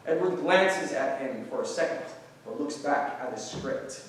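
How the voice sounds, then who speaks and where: A man's voice: mid-range voice, lively intonation, measured pace. A man reads scripted stage directions aloud as the narrator of a staged reading. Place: large echoey room, live staged reading. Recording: very echoey room, distant mic, faint noise.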